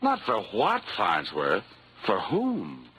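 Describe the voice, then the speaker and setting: Wry American private investigator, 1940s radio drama, animated delivery, sardonic, music behind. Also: male voice, lowish voice, slow, full character voice. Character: wisecracking hard-boiled male insurance investigator. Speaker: radio drama lead and narrator. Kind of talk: 1940s radio detective acting.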